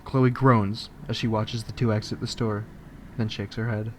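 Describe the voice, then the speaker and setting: A man's voice: lowish voice, little pitch movement, normal speed. Male story narrator, faint background noise.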